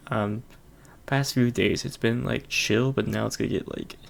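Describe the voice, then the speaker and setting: A man's voice: medium pitch, fairly even intonation, moderate pace, vocal fry. A man hosting his own podcast alone, rambling casually with lots of fillers and frequent chuckles. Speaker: podcast host. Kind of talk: casual solo podcast chat.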